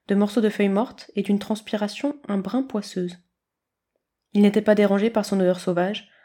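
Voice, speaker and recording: A woman reading a scripted story aloud as an expressive narrator. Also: medium pitch. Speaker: podfic reader.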